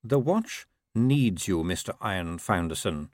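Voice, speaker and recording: A wily, urbane city ruler from an audiobook, played by a male narrator, talks slowly and with measured care. Every phrase is deadpan and ironic, in a dry, close recording. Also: low-pitched, no echo.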